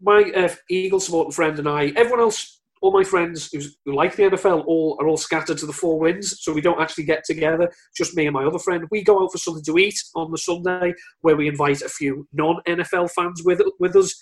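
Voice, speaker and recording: A man with a moderately high voice talks on a sports podcast. He chats informally, with a wry streak and a readiness to poke fun at himself. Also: animated, a little fast, low-quality recording. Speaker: podcast co-host or guest. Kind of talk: casual sports chat.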